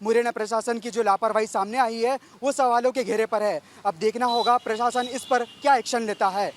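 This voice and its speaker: A man's voice: very high voice, fairly even intonation, brisk, slight background noise. A man narrating a scripted news report as a reporter.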